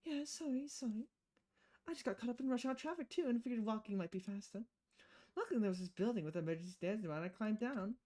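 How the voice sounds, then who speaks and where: Carefree woman bar regular, brash, excitable, swooping pitch, visual novel dialogue, read by a woman streamer. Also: fast. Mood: apologetic, breezy. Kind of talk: acted reading of game dialogue.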